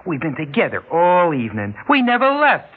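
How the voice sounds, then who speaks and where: A man's voice: fairly high pitch, huge pitch swings, a little fast, husky, heavily acted. A man with an American accent acting a cocky young male ringleader in an old radio drama. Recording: muffled, noisy old radio broadcast.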